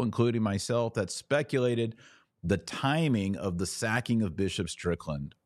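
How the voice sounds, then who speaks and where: An American man hosting a podcast, giving opinionated church news commentary in an emphatic way, with deliberate pauses and sardonic asides. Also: low-pitched, dry recording, studio quality.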